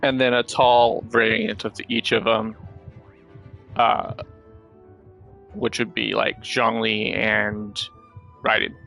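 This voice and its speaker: Male streamer, casual gameplay talk, fry in the voice, halting delivery, soft game music behind. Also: fairly low pitch, flat delivery. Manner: halting, self-correcting explanations. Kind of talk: casual gameplay commentary and chat. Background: video game music.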